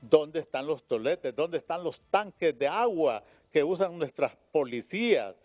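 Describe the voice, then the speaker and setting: A man with a Honduran accent arguing as a guest in a heated panel debate, using rhetorical questions and halting repetitions. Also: fairly high pitch, lively intonation, phone-quality sound.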